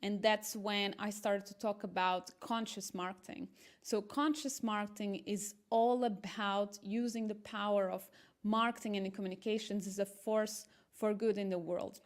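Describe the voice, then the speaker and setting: A woman's voice: medium pitch, fairly even intonation, normal speed. A woman in her thirties from Portugal, speaking English as an eager, earnest interview guest, with small restarts. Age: 30s. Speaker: guest, marketing entrepreneur. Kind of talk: casual interview talk.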